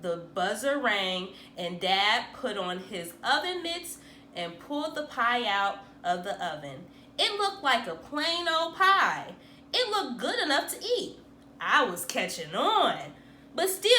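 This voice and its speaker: Female storybook reader, bright and very expressive, unhurried read-aloud for kids, recorded in a roomy space. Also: on the high side. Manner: animated, dramatic emphasis for young listeners. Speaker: teacher reading aloud. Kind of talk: expressive children's picture book read-aloud. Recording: roomy echo, faint background noise.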